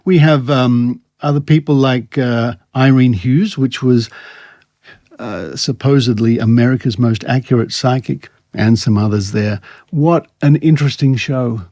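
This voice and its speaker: A man's voice: medium pitch, measured pace, mellow, dry recording, clean studio sound. An Australian man hosting a podcast in a casual, wryly amused, conversational way. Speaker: podcast host. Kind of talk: casual chat about psychics and scepticism.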